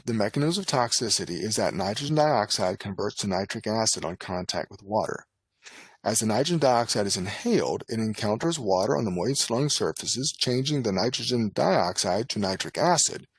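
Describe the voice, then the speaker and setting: A man narrating a scripted medical lecture as an instructor, in a formal, measured, instructional manner. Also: mid-range voice, husky, dry recording. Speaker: lecturer, nurse and poison specialist.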